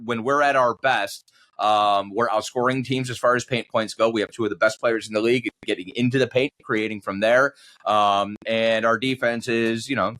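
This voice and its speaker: A man's voice: mid-range voice, fairly bright tone. A man who works as a sports radio announcer, talking reflectively in a conversational interview and often circling back over his phrases.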